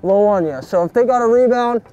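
A young man outdoors giving a jokey sports tutorial, coaching in a mock-serious, deadpan way full of hockey slang. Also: very high-pitched, a little fast, muffled recording, a little hiss or hum. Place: backyard, outdoors. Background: music.

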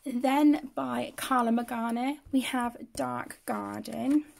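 A woman with the flu, croaky and often half-whispering, casually showing her finished colouring pages as the channel host. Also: on the high side, steady tone, slow pace. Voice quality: hoarse, often drops to a whisper. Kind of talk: casual flip-through commentary. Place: indoors at a table.

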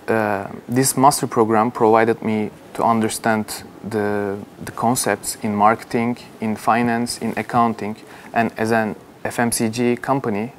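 A young man from Turkey speaks English with a Turkish accent in a somewhat low voice, explaining things steadily with frequent "uh" pauses. He is recorded on a lapel mic in an open-plan office, with a faint hum of ambience behind him. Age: young adult. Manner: measured, gestures while explaining, frequent "uh". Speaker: university alumnus. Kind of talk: interview answers.

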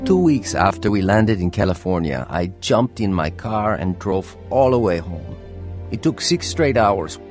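A man's voice: fairly low pitch, lively intonation, unhurried. An actor playing an earnest, troubled young navy man in a confessional radio drama. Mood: reflective narration. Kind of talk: radio drama narration and acting. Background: music.

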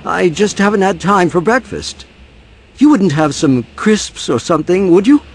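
A man's voice: sits high, animated, normal speed. A man acting a frazzled, mild-mannered professional in an audio drama. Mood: distracted.

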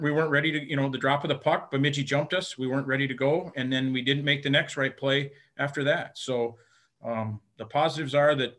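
A middle-aged man, a hockey head coach, answering postgame questions frankly over a video call, with halting restarts and many fillers. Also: medium pitch, a bit bright. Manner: plain-spoken, frank. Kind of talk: postgame press conference answers. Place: indoor room in front of team backdrop. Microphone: webcam on video call.

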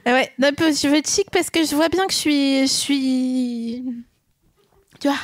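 A woman hosting a casual podcast chat, joking at her own expense and drifting between thoughts. Her voice sits a little high and sounds close and dry, with no room around it. She comes from eastern France. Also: unhurried, no echo. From Mulhouse, France. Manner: playful, scattered, self-mocking. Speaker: podcast host.

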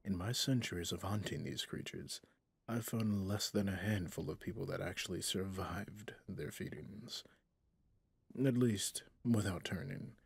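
Man acting a very husky, low vampire hunter. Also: no echo, exaggerated voice. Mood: suspicious, brooding. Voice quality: husky, breathy, gravelly, often dropping to a whisper. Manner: slow, commanding, interrogating. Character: stern centuries-old male vampire hunter, menacing and brooding. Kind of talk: ASMR audio roleplay.

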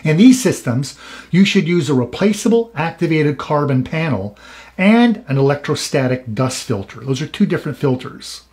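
A man's voice: on the high side, big pitch swings, moderate pace, a little mellow. A man in his fifties, an industry expert hosting a show, giving scripted advice straight to camera in an earnest, cautionary way. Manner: earnest, cautionary, emphatic warnings.

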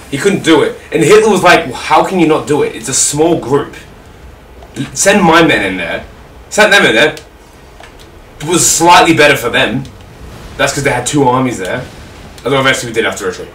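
A man's voice: mid-range voice, expressive, brisk, a bit bright, a little hiss or hum. Rambling Australian man, roomy distant mic. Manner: rambling, sweary, repeats himself. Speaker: storyteller on a gaming video. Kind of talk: casual history storytelling over gameplay.

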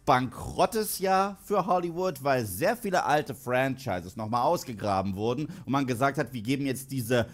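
A man's voice: medium pitch, animated, moderate pace, hardly any pauses, faint music behind. A man in his thirties presenting scripted film commentary to camera, emphatic and tongue-in-cheek. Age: 30s. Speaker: online film critic and presenter. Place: indoor studio with dark backdrop.

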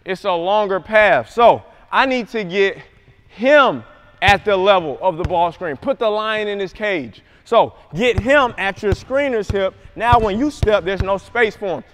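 A man's voice: high voice, a little slow. A young man coaching basketball moves, calling out plays with energy in a large indoor gym. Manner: energetic, shouts calls on court. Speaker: basketball trainer. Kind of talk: coaching demonstration.

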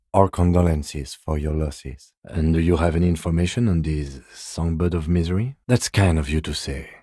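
An actor playing a calm, dutiful middle-aged police detective in a video game, with a measured, deadpan, politely formal delivery. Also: male voice, low voice, slightly rough, dry recording, clean studio sound. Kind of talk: scripted game character dialogue.

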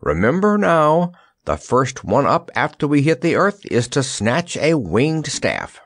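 A man acts out a gallant, optimistic wizard from a children's tale. The voice is raised high and calls out heartily with big swoops, in a dry recording. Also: sing-song, a little slow, no echo, heavily acted. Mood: bright, hearty. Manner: loud, hearty, calling out. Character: cheerful, gallant old wizard shouting optimistically.

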